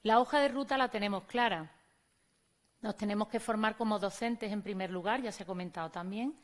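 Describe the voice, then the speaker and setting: A woman's voice: medium pitch, fairly quick. A woman from Córdoba with an Andalusian accent, a teacher giving a conference talk and speaking to the audience in a conversational way. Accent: Andalusian Spanish. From Córdoba, Spain. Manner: conversational, addresses audience directly. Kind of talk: conference presentation. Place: conference venue on stage.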